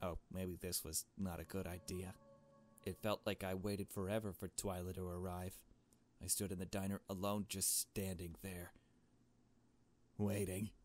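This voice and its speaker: A man's voice: sits low, level tone, measured pace, faint music behind. A man voicing a nervous, shaken young woman who narrates a visual novel mystery in a hesitant, uneasy way. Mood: subdued, uneasy. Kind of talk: visual novel story read aloud.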